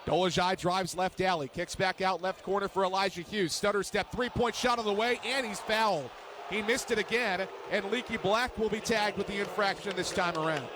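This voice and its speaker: A man's voice: high-pitched, steady tone, moderate pace. A young man calling live basketball play-by-play for radio as the announcer. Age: young adult. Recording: noisy basketball arena behind voice.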